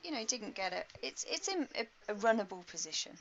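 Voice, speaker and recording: A woman's voice: fairly high pitch, big pitch swings, fairly quick. A woman giving chatty, informal live sports commentary with colloquial exclamations. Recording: dull lo-fi stream with background noise.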